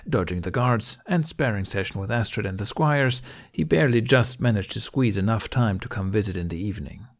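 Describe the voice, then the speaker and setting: A man reading scripted fiction aloud as an audiobook narrator, in a measured storytelling manner. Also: on the low side.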